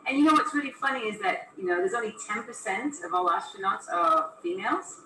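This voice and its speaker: A woman's voice: medium pitch, animated, fairly quick, sharp tone. Chatty middle-aged woman asking questions, noisy. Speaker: interviewer. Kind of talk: casual chat. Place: balcony outdoors. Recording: echoey, distant, noisy phone recording.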